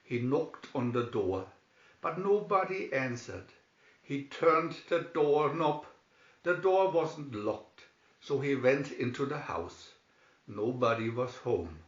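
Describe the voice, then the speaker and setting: A man's voice: medium pitch, expressive, takes it slowly. A man narrating a scripted fairy tale expressively as a storybook reader. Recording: roomy, slightly dull recording.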